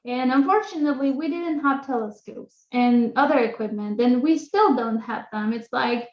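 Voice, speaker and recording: A woman's voice: sits high, normal speed. A young woman from Kosovo, a native Albanian speaker with an Albanian accent, telling her own story informally as a guest speaker in a talk. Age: young adult. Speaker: guest speaker, astronomy graduate student. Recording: roomy echo, dull muffled online audio.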